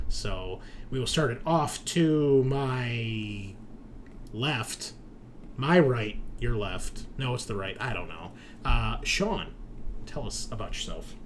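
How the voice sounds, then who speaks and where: A man hosting in a casual, chatty and joking way, as game master on an online call. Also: fairly low pitch. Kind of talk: casual podcast introduction. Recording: noticeable background noise.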